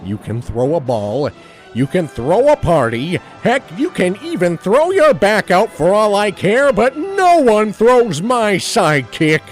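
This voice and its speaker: A man's voice: high-pitched, big pitch swings, a little slow, faint music behind, rough recording. A man playing a brash, patriotic superhero captain in an audio drama, proud, bombastic and corny. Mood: defiant.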